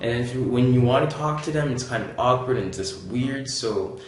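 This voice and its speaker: A man's voice: mid-range voice, animated, moderate pace. A young man from Canada telling a casual vlog story, rambling and laid-back with many fillers. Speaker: vlogger. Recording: very echoey room.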